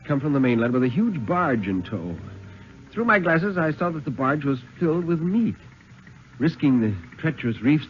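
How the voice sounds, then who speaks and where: A man playing a steely, haunted lighthouse keeper who recounts his ordeal with dramatic, measured urgency in a 1950s radio reading. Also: medium pitch, natural voice. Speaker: story reader. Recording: dull vintage radio recording.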